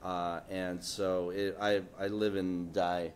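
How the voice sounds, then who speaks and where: A man's voice: low-pitched, little pitch movement, slow, clear, resonant tone. A man speaking on a live panel, rambling with many fillers and restarts and joking often. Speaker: panelist, political cartoonist. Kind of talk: casual panel talk. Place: event space with audience.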